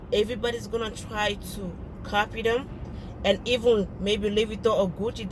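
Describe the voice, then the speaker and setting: A woman with a French accent speaks in an earnest, pleading way, repeating herself and restarting phrases. Her voice sits at an ordinary middle pitch. Traffic noise from a street is clearly heard behind her. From French-speaking Africa. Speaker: video blogger. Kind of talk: direct-to-camera appeal.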